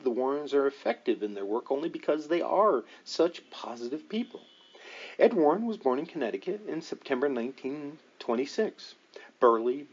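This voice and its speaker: A man's voice: mid-range voice, slightly rough, highs cut off. A man narrating a nonfiction book as an audiobook reader, in a scripted reading style.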